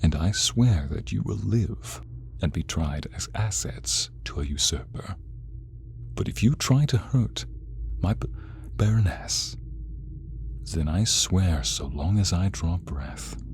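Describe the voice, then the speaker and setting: A man's voice: low voice, expressive, slow pace, slight background noise, full character voice. A man playing a commanding, protective king in a dramatic audio role play, formal and regal in his way of talking. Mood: stern warning.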